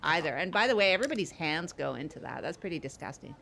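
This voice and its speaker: A woman's voice: low voice, expressive, fast, gravelly, a bit bright, slight background noise, exaggerated voice. A woman playing a blunt, fussy, folksy guest host in improvised comedy podcast banter, often repeating herself. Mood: matter-of-fact disgust. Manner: blunt, chatty, repeats phrases.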